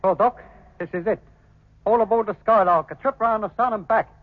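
High-voiced British space radioman, tinny sound. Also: fairly quick. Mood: wry. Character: chirpy, wisecracking male spaceship radio operator. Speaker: actor in a radio science fiction serial. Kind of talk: 1950s British radio drama acting. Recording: tinny, faintly noisy 1950s radio recording.

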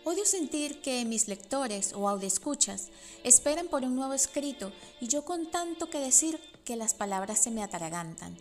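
A woman in her forties with a Venezuelan Spanish accent, reading her own personal essay in a reflective, measured way. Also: sits high, big pitch swings, soft background music. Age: 40s. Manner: reflective, measured, with soft laughs. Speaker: podcast host and writer.

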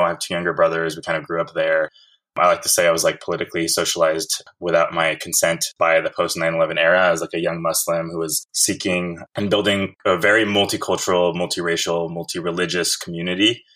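A man's voice: sits low, fairly bright tone, rough recording. A man in his thirties from Central California, a guest telling his story in a reflective, filler-laden conversational way. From Central California, USA. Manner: reflective, frequent "like" and "kind of" fillers. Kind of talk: casual conversational interview.

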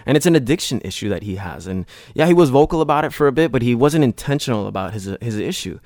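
A man hosting a podcast who talks in a conversational, earnest and reflective way. His voice sits at an ordinary middle pitch. It is recorded dry in a studio, with no room sound. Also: expressive, fast talker, a little mellow, no room sound. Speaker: podcast host. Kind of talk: casual podcast talk.

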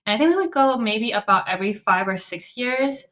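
A woman's voice: fairly high pitch, animated, a little fast, fairly bright tone. A young woman from Chicago with an American accent, guest on a podcast, chatty and upbeat, laughing often as she talks. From Chicago, USA. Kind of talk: casual interview conversation.

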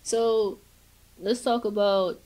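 A woman hosting a casual interview, asking questions full of fillers and quick affirmations. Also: mid-range voice, fairly even intonation, darkish tone, poor audio. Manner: frequent fillers, many short affirmations and laughs. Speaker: interview host.

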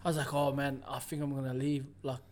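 A man's voice: medium pitch, level tone, fast, darkish tone. A young man, a youth worker talking casually with many fillers. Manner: laid-back, many fillers. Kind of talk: casual chat.

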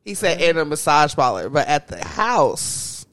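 A man co-hosting a casual podcast, teasing the others with quick prodding questions. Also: a little high, very animated, a bit bright. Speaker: podcast co-host. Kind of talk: casual chat.

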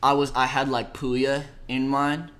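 Chill young dude, soft voice, says like and bro a lot, talking about his tracks. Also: mid-range voice, level tone, a little slow. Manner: laid-back slangy talk, lots of "like" and "bro". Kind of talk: casual interview chat.

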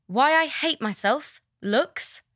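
A woman's voice: high voice, animated, slow, fairly bright tone, dry recording, clean studio sound. A young woman in her twenties, a podcast guest chatting casually and poking fun at herself. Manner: self-mocking, laughs while reading.

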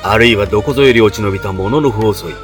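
A man's voice: on the low side, over music, natural voice. Man playing a lordly, stern feudal patron. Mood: grave, commanding. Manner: lordly, archaic, measured speech. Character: dignified male feudal lord, stern but caring patron. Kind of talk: Japanese period audio drama.

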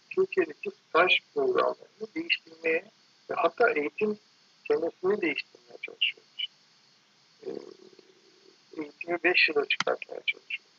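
A man talking casually and reflectively in an interview, halting and digressive, often correcting himself. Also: high-pitched, gravelly, husky, highs cut off. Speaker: architect guest being interviewed.

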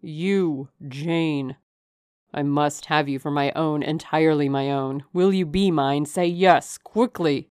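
A woman voicing a passionate, possessive gentleman lover in an audiobook, speaking in an urgent, imperious, declamatory way. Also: deep voice, fairly even intonation, slow pace, clear, resonant tone, no room sound, studio quality. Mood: tormented, urgent. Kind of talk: dramatic audiobook character reading.

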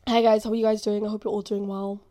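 A young woman hosting a podcast, talking about faith in a chatty, rambling way full of fillers, with a naturally deep voice. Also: level tone, quick pace, mellow. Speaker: podcast host. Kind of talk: casual faith talk.

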